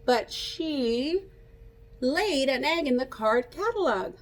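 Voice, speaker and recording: A woman reading a picture book aloud like a teacher, pausing to explain and ask young children questions. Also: high voice, lively intonation. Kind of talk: interactive children's read-aloud.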